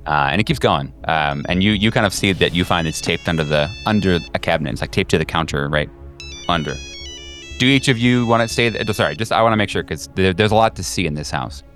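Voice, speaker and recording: Low-voiced man narrating a tabletop game. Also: expressive, a bit bright. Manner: halting, descriptive, thinking aloud. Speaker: game master. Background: background music.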